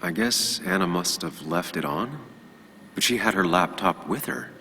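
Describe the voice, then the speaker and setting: An actor playing a brooding, loyal journalist in his thirties in a video game, with measured, understated delivery. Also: deep voice, expressive, bright, forceful tone, slight background noise, full character voice. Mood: puzzled. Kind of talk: naturalistic video game acting.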